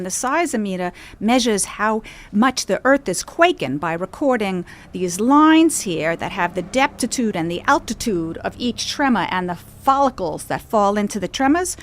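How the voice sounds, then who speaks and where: Woman playing animated scrappy New kid-at-heart. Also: mid-range voice, normal speed, close to an everyday voice. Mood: eager explaining. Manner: earnest, mangling big words. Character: eager, scrappy, street-smart New Yorker amateur scientist.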